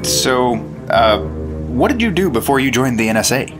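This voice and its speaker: An actor playing an eager, earnest FBI agent in a 1950s-style radio drama, with music underneath. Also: male voice, mid-range voice, big pitch swings, moderate pace, close to an everyday voice. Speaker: Agent Cooper.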